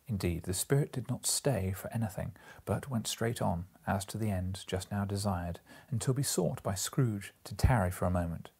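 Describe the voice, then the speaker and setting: Male storybook narrator, forties, very low and husky, solemn measured delivery. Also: darkish tone. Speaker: storybook reader. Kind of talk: dramatic literary narration. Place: small indoor room, seated in an armchair.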